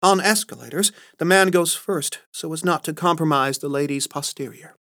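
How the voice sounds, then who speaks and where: A man's voice: fairly high pitch, moderate pace, sharp tone, voice pushed up. A man voicing a poised, witty married woman who works in sales, speaking in a raised, teasing voice. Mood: prim. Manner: teasing, prim.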